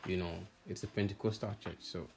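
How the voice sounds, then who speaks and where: Male tech vlogger, low gravelly voice, hesitant informal narration over clearly audible music. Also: steady tone, a little fast, rough recording. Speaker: audio installer narrating own project video. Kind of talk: casual walkthrough voice-over. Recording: music playing behind the voice. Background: background music.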